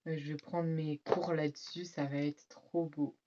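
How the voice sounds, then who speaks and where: A teen girl with a French accent talks in a low, slightly stuffy voice, rambling through her school supplies with lots of fillers and quick asides to her viewers. The sound is dull and muffled, with a clear hiss of noise underneath. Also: little pitch movement. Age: teens. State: slightly congested, has a cold. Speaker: vlogger. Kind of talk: casual back-to-school haul vlog.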